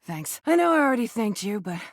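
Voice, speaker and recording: A woman voicing a brash, crude, flirty rubber duck in a video game, with a husky voice and a sardonic, slangy, sometimes defensive delivery. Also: on the high side, big pitch swings, fairly quick, dry recording, studio quality. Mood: grateful, soft. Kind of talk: video game character acting.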